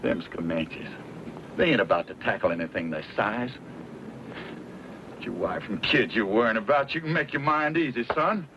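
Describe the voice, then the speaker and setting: An actor playing a gruff, seasoned American wagon scout in an old time radio western, talking in plain, commanding frontier dialect. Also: male voice, on the low side, moderate pace, a bit bright. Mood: reassuring. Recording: dull, noisy old radio recording.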